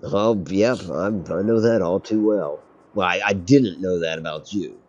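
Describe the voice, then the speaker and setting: A man's voice: deep voice, measured pace, darkish tone, lo-fi sound. A man streaming a game, chatting casually, rambling and laughing often. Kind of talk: casual gameplay chat.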